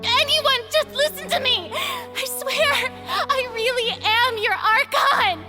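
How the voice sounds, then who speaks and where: A woman's voice: extremely high pitch, expressive, slow, over music, exaggerated voice. A woman voicing a desperate, theatrical young woman who claims to be a god, pleading dramatically in a video game.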